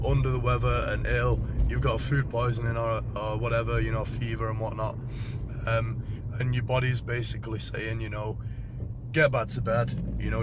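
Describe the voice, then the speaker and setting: A hoarse British man, his voice partly gone, talking through a casual spoken diary in a rambling way with many fillers and the odd swear. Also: mid-range voice. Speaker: powerlifter vlogging about a meet. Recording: dull lo-fi recording with clear background noise.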